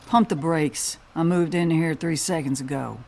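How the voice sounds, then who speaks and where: A woman's voice: sits low, moderate pace, creaky, a little mellow, a little hiss or hum. A woman voicing a wry, self-reliant cowgirl bounty hunter in a video game, folksy and laid-back. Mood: dry, guarded. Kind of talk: scripted video game dialogue.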